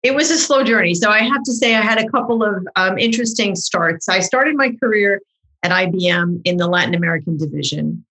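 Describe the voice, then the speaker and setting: A middle-aged woman with a middle-pitched voice, chatting animatedly as an interview guest. Her first language was French, and she tells her story in quick bursts, often backing up and restarting her sentences. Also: pushed and bright, poor audio. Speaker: marketing consultant guest. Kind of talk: conversational interview.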